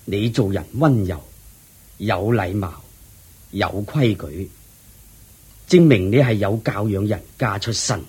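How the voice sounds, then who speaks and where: An actor playing an elderly, generous lord in a radio drama, speaking in a slow, measured, approving way with a Hong Kong Cantonese accent. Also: male voice, lowish voice, warm tone, breathy edge, heavily acted. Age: older. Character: elderly kindly male lord, generous father-in-law.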